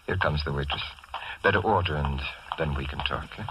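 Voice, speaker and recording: Greek immigrant, courteous, earnest, deep, husky, slightly hesitant, 1940s radio drama, vintage dry recording. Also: male voice, brisk, fairly bright tone, heavily acted, low-quality recording. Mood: amused, friendly. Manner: polite, chuckling, slightly hesitant.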